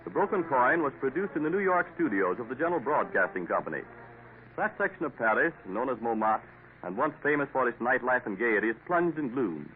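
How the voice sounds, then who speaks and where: A man narrating and announcing a 1950s radio drama in a measured, formal way. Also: medium pitch, very animated. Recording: tinny old radio broadcast with faint hiss.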